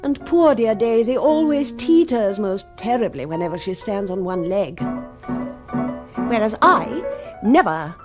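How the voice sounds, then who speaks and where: A woman's voice: mid-range voice, expressive, unhurried, over music, close to an everyday voice. An actress playing an affected, imperious village social queen in a radio comedy, with an upper-class English accent and theatrical delivery. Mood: smug, self-admiring. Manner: theatrical, affected, sprinkles speech with Italian endearments. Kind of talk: radio comedy drama acting.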